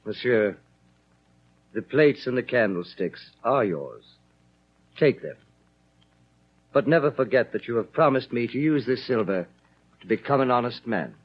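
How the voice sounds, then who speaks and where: A man playing a dignified, forgiving old bishop in a 1930s radio drama, calm and measured. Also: medium pitch, natural voice. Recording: muffled vintage 1930s radio recording.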